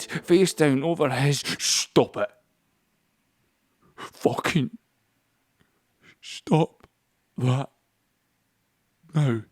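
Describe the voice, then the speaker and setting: Troubled lonely young man, played by a male actor, dramatic monologue, slow halting delivery over prominent music. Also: mid-range voice, darkish tone, close to an everyday voice. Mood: self-disgusted. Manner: deliberate, halting short phrases. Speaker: actor and narrator. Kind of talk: dramatic audio play monologue.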